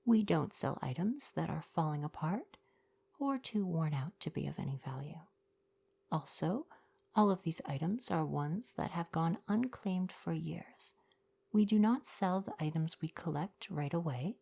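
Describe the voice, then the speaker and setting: Woman playing a mellow, low-voiced kind mer shopkeeper. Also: lively intonation, unhurried, vocal fry, husky, full character voice. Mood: earnest, courteous. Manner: polite, articulate, formal retail patter. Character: kind young mer person shopkeeper, courteous, earnest, gentle. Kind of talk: ASMR roleplay, softly spoken.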